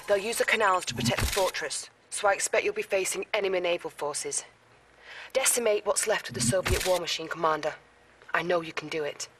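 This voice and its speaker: An actress playing a brisk female intelligence officer briefing a commander on a mission. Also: fairly low pitch, background noise, lightly acted. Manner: crisp briefing delivery.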